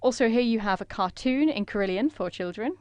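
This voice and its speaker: A woman interpreting a conference presentation in a measured way, with frequent filler pauses. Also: fairly high pitch, animated, darkish tone, clear, resonant tone, no echo, dull audio.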